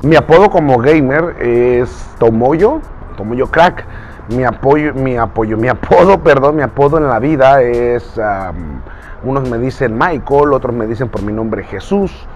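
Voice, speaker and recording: A man's voice: medium pitch, lively intonation, measured pace, darkish tone, lo-fi sound, a little hiss or hum. A man in his thirties from Mexico with a Mexican Spanish accent, chatting to camera as a YouTuber and voice impressionist. He talks earnestly, checks his notes and gestures with his hands while he speaks. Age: 30s. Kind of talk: casual talk to camera. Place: outdoors in a recreational park, standing against a pale wall.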